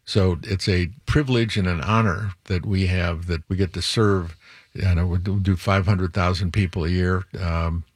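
A man's voice: low voice, steady tone, moderate pace, husky, dry recording, clean studio sound. An older man speaking as an interview guest, rambling a little and correcting himself as he lists things. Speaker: museum executive guest. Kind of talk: interview conversation.

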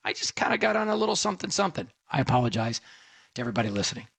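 A man from Texas hosting a tech radio show, talking fast and animated in a casual monologue. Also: fairly high pitch, creaky, husky, no room sound.

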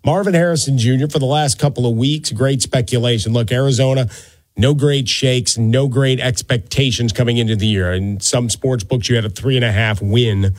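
A man's voice: mid-range voice, steady tone, moderate pace, dry recording. A man hosting a sports talk radio show in a casual, playful, rambling monologue on a close studio microphone. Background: music.

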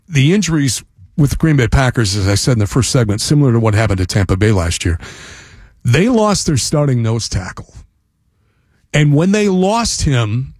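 A man's voice: medium pitch, a little mellow, dry recording, studio quality. A man from Wisconsin analysing football on a sports radio show, thinking his points through as he talks. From Wisconsin, USA. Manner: thoughtful, works through points as he goes.

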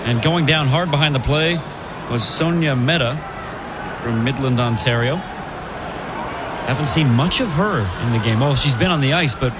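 A man calling live women's college hockey play-by-play, brisk and chatty, laughing easily between plays. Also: medium pitch, very noisy. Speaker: play-by-play commentator.

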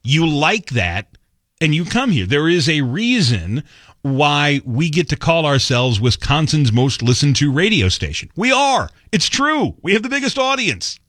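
A man's voice: fairly high pitch, big pitch swings, measured pace, fairly bright tone, no echo. A man hosting a conservative talk radio show, delivering a sarcastic monologue and mocking other outlets in put-on quotes, recorded on a studio mic.

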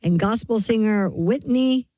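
A woman's voice: fairly high pitch, a little slow, warm tone, no room sound, studio quality. A woman co-hosting a talk show, chatting casually and reading out entertainment news.

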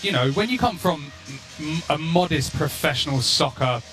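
A man's voice: fairly high pitch, animated, moderate pace, over music. A man with an English accent, a former pro soccer player, chatting loosely as a radio guest with many restarts. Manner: rambling, many restarts and fillers. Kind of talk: casual radio chat.

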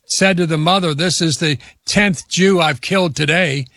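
Bright-voiced male talk radio host. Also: fairly high pitch, moderate pace, dry recording, studio quality.

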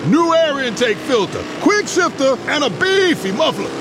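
A boastful, excitable biker shows off in a radio ad with a strikingly high, squeaky voice. Engine noise rumbles loudly under him. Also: male voice, moderate pace, exaggerated voice. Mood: proud. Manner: boastful, eager. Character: proud excitable motorcycle rider, grown man. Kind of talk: radio ad acting. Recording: motorcycle engine noise behind.

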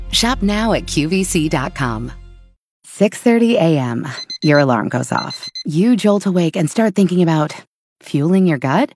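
A woman's voice: lowish voice, darkish tone. A woman reading commercial voice-overs in a friendly, conversational way. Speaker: ad announcer. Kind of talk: scripted commercial narration.

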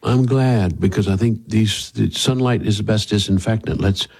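Low, husky-voiced male radio host. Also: moderate pace, a little mellow. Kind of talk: conversational broadcast interview.

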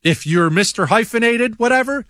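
A man's voice: extremely high pitch, lively intonation, moderate pace, pushed and bright, no room sound, studio quality. A man hosting a talk show, reading listener emails and answering them in a blunt, sarcastic, emphatic monologue. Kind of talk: opinionated monologue.

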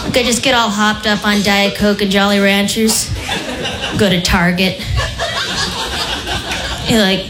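A woman doing stand-up, shifting from deadpan talk into shrill mock voices. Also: a little slow, long pauses, music in the background, a crowd in the background. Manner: deadpan then shrill mock voices, laughs at own bits. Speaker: stand-up comedian. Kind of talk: stand-up routine. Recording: live set in an echoey room with noise.

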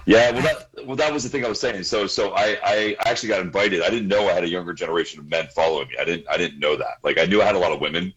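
A man's voice: sits low, racing, hardly any pauses, fairly bright tone, husky, muffled recording. A man in his forties, a guest in a casual interview, talking earnestly, repeating himself and laughing easily.

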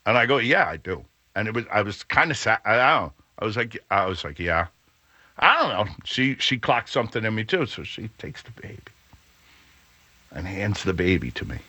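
A middle-aged man hosting a radio show, telling a rambling story in a booming, animated voice that keeps stopping and restarting. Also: normal speed, slightly rough, no room sound. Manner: rambling, self-interrupting, laughs at own jokes. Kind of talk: casual storytelling chat.

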